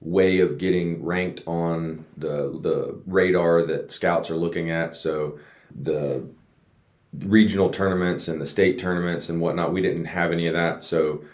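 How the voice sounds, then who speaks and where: A man's voice: low-pitched, steady tone, a little slow, a little mellow. A man raised in the United States, a race car driver, telling his story earnestly as a guest in a casual podcast interview. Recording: roomy echo.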